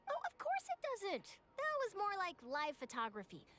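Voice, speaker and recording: A woman's voice: extremely high pitch, huge pitch swings, moderate pace, bright, forceful tone, a little hiss or hum, full character voice. An actress playing an eager, passionate young female journalist in an anime game, articulate, theatrical and upbeat. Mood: excited, cheerful. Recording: background music under the voice.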